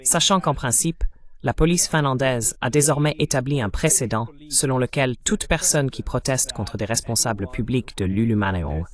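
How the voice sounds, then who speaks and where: A man with a mid-pitched voice hosts an interview. He presses his guest with pointed follow-up questions and checks the details carefully. The delivery is a steady voice-over. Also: normal speed. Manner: probing, clarifying questions. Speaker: interviewer, host.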